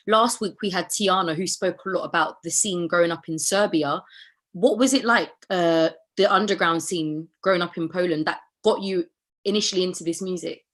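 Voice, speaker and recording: A woman's voice: on the low side, normal speed, a bit bright. Woman interviewer asking questions. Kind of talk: casual interview chat.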